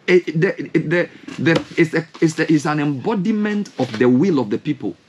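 A man's voice: on the high side, normal speed, a little hiss or hum. A young man with a Ghanaian English accent, a radio host in a studio, giving impassioned commentary full of rhetorical questions. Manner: animated, rhetorical questions, gesturing hands. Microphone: studio mic with headphones.

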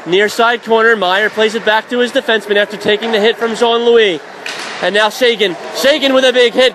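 A man with a high voice calls live hockey play-by-play for radio, tracking every pass and shot and lifting into shouts when the puck nears the net. A faint hiss of noise sits under his voice. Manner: rapid, rising to shouts on shots and saves. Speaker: play-by-play announcer.

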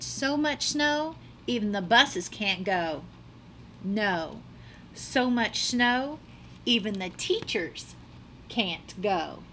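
A middle-aged woman reads a children's storybook aloud in a bright voice with very lively, swooping intonation. She takes her time, stretching words for dramatic effect. A clear layer of room noise sits behind her, as on a webcam microphone in a classroom. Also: on the high side. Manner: animated, dramatic delivery with drawn-out words. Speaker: teacher reading a picture book aloud. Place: small classroom, indoors.

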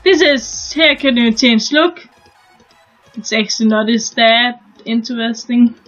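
A young woman from Denmark with a Danish accent, chatting casually and haltingly as she shows a game on her new channel, restarting her sentences often. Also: fairly high pitch, steady tone, fairly bright tone, dull audio, faint music behind. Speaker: gaming channel host. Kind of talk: casual gameplay commentary.

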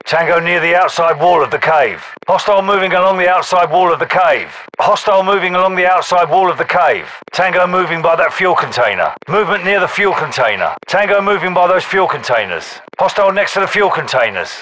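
A man's voice: high-pitched, lively intonation, a little fast, few pauses, pushed and bright, a little hiss or hum, rough recording. A man voicing a commanding British special forces captain in a military video game, giving clipped, urgent battle callouts with a British accent. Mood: urgent, focused. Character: gruff British special forces captain calling out enemy positions.

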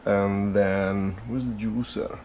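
Slow-talking man cooking in his kitchen. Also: lowish voice, heavy background noise. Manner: relaxed, unhurried, talks while chopping and mixing. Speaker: cooking vlogger. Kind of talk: casual cooking commentary. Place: small home kitchen, indoors. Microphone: head-mounted camera, first-person view.

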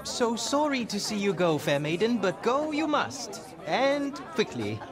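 High, theatrical, pompous minstrel. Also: male voice, sing-song, a little slow. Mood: courteous, hurried. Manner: pompous, flowery, dramatic. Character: florid, theatrical male bard, grandiose and playful. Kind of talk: video game voice acting. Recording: music and outdoor crowd behind.

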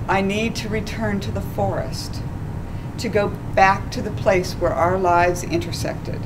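A woman in her sixties reading her own essay aloud at a live event, slow and measured. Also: medium pitch, background noise. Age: 60s. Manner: slow, deliberate, measured delivery. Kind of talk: literary reading. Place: small stage in a dark club.

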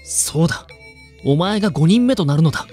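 A man's voice: a little high, lively intonation, normal speed, warm tone, soft background music, close to an everyday voice. A man playing a stiff, pompous student council officer in an amateur voice drama, speaking in formal, old-fashioned phrases. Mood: persuasive, pompous.

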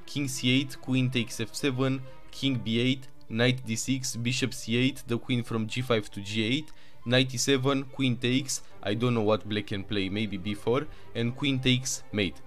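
A man with a Romanian accent narrating chess games move by move, with excited asides. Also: mid-range voice, measured pace, faint music behind. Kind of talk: chess game commentary.

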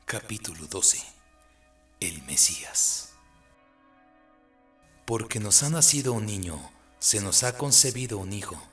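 Slow, low-voiced male narrator. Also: expressive, a bit bright. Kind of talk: solemn scripted scripture narration. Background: faint music.

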